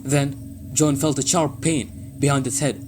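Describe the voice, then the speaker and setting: Male narrator for an audio drama: medium pitch, scripted storytelling, clear music underneath. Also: steady tone, unhurried. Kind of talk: scripted story narration in short plain sentences.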